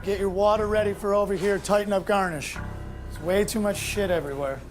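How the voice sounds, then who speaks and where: High-voiced, bossy man giving kitchen orders. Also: steady tone, a little fast. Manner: bossy, blunt, repetitive. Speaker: team leader in a kitchen contest. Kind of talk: unscripted kitchen instructions. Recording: music behind the voice.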